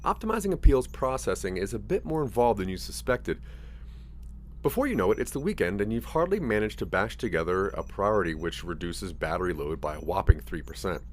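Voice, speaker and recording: A man's voice: on the low side, big pitch swings, brisk, a little hiss or hum. A man narrating a scripted interactive audio drama in the second person.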